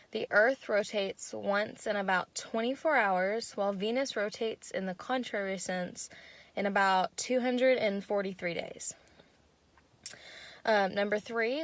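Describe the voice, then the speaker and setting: A young woman with a squeaky voice giving a class presentation, working through numbered points with frequent ums. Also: dry recording. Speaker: student presenter.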